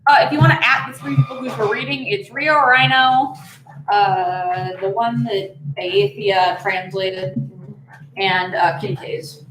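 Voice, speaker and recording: A woman's voice: mid-range voice, measured pace, far from the mic. A woman co-hosting a casual livestream, teasing her partner in cheeky banter. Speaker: livestream co-host. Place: echoey room. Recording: very echoey room, faint noise.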